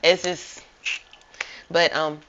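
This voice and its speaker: A woman's voice: low voice, little pitch movement, extremely slow, a bit bright, a little hiss or hum. A woman hosting a casual interview, upbeat and encouraging, often saying "like". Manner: upbeat, encouraging, says like a lot. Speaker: interview host. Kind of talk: casual chat. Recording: muffled lo-fi audio.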